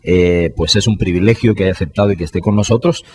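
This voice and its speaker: A man hosting a live radio talk show, greeting listeners formally and pausing often with "eh". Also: deep voice. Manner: warm formal greetings with frequent "eh" fillers. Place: radio studio.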